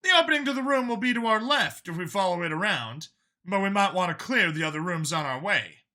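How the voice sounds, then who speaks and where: A hesitant male team guide, played by a man reading an audiobook, apologetic and unsure of himself. The voice sits high and bright, moving up and down as he talks through directions. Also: animated, a little fast. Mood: matter-of-fact. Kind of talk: audiobook character voice.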